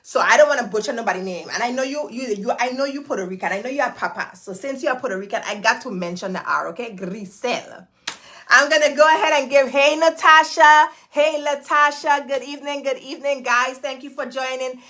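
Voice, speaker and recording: Bright high-voiced woman hosting a livestream. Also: brisk. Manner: chatty, hypes viewers, repeats words. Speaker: host of a livestream. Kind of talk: casual livestream chat greeting viewers. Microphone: phone camera.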